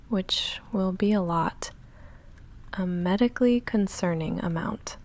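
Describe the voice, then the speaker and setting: Female goth vampire, played by a woman, intimate ASMR roleplay: breathy, heavily creaky, unhurried and halting, intense but holding back. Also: mid-range voice. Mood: dry, incredulous. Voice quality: breathy, often whispering, creaky. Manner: halting, hushed, confessional with dry deadpan asides. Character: female goth vampire roommate, lovestruck, restrained, nervously intense.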